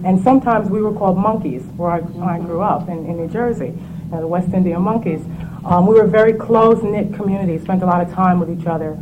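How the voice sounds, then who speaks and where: A woman from the West Indies speaking thoughtfully in a panel discussion, with many pauses and ums. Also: on the low side, phone-quality sound. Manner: reflective, measured, with frequent ums. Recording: noisy room recording.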